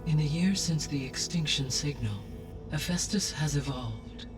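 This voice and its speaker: An actor playing a calm, grave artificial intelligence in a video game, speaking formally with precise, deliberate diction. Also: male voice, a little high, distant mic, over music, close to an everyday voice. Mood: grave, measured. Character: calm male artificial intelligence, grave, all-knowing custodian. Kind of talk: cinematic video game acting, exposition.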